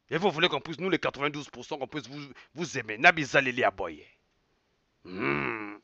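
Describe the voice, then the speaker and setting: A man's voice: fairly high pitch, sharp tone, no echo, dull audio. A man from Congo, delivering a heated evening rant to his viewers. He repeats his points angrily and slips local words into his talk. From Republic of the Congo. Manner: angry, emphatic, repeats phrases, drops Lingala words. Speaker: online commentator.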